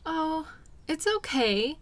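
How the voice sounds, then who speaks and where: A woman playing a caring, patient girlfriend in an intimate role-play audio, talking gently and soothingly to her partner. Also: sits high, big pitch swings, takes it slowly, exaggerated voice. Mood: reassuring, light. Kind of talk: intimate one-sided role-play acting.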